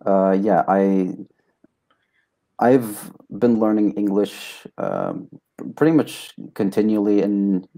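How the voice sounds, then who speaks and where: A young man from Sweden, a native Swedish speaker, giving casual, halting, modest answers as an interview guest. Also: lowish voice, sing-song, creaky, dark tone. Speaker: interview guest, test taker.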